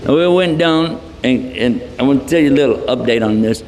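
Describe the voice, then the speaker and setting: A man's voice: medium pitch, brisk, a little mellow. A Texan man giving a humorous, folksy public lecture, telling stories with joking asides. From Texas. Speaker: Islamic lecturer. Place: large multipurpose hall. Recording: reverberant hall from a distance.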